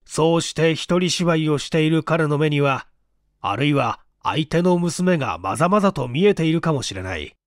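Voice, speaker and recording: Man playing a smug, animated storybook writer. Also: a little high, normal speed, no echo, clean studio sound, close to an everyday voice. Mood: absorbed, smug. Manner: self-satisfied, explanatory, rambling. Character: eager, smug male writer pitching his odd story.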